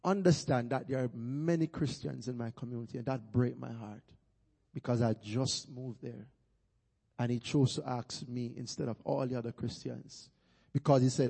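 A man's voice: mid-range voice, gravelly, husky. A man, a pastor, giving an emphatic testimony to a church congregation. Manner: emphatic, builds to loud peaks. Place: church sanctuary. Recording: long reverb of a church hall.

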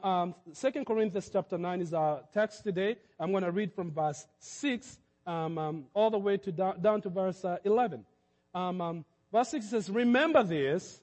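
A man's voice: high voice, animated, moderate pace, a little mellow, in a large hall. A man preaching as a pastor. He halts and repeats words often, then rises to emphatic peaks. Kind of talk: sermon.

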